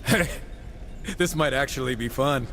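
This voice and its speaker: A man's voice: mid-range voice, measured pace, in a large hall, background noise, lightly acted. An actor playing a cocky, wisecracking horseman warrior in a video game, sarcastic and irreverent. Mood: cocky, eager. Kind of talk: casual quips in video game cutscene acting.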